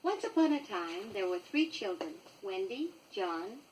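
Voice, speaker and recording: An American woman reading a children's story from a script, expressive and clearly paced for young listeners, on a 1960s vinyl record. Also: medium pitch, measured pace, recorded from a distance, a little hiss or hum, low-quality recording. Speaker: storybook reader.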